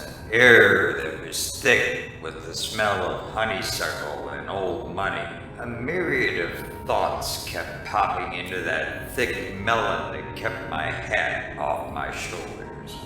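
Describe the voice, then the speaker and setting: An actor playing a hard-drinking, wisecracking, irritable private eye in a noir radio play, deadpan and world-weary. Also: male voice, heavily acted. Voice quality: deep. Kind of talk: noir detective radio drama narration. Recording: very echoey, distant, music behind.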